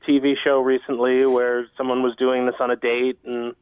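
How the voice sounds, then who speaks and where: A man's voice: mid-range voice, monotone, normal speed, clear, resonant tone, dry recording. A man talking hesitantly and self-consciously as a phone-in guest on a casual radio show. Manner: awkward, hesitant, self-deprecating. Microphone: phone call. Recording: muffled phone-line sound.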